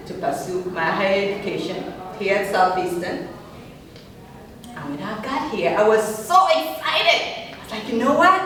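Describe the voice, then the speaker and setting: Earnest woman speaker, emphatic storytelling, heard from far in a booming hall. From Uganda. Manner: earnest, emphatic, rising passionately on key words. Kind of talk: personal story speech.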